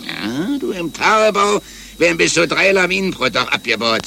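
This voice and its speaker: An actor playing a taunting crime boss villain in a satirical radio play, colloquial and fond of tag questions. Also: male voice, fairly high pitch, very animated, normal speed, gravelly, pushed and bright, slight background noise, heavily acted. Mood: gloating, smug. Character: gloating male crime boss villain, smug then cornered.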